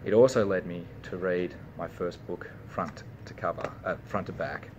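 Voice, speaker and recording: A young man with an Australian accent reads through a personal speech he has written. His voice sits low, and he stumbles now and then before restarting a phrase. A steady noise runs under the recording. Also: moderate pace, muffled recording. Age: young adult. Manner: reads a prepared speech with small slips and restarts. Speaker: university student.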